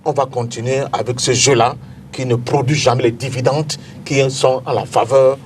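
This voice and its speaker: A man's voice: mid-range voice, steady tone, moderate pace, a little hiss or hum. A Cameroonian-accented man debating politics as a panel guest, speaking emphatically and repeating phrases for effect.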